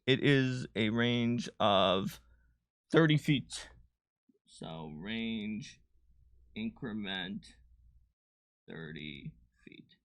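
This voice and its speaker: A man's voice: fairly low pitch, extremely slow, pauses often, fairly bright tone, dry recording, studio quality. A man chatting casually as a livestream co-host, thinking aloud as he explains tabletop game rules and works through numbers. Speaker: game designer co-hosting a livestream.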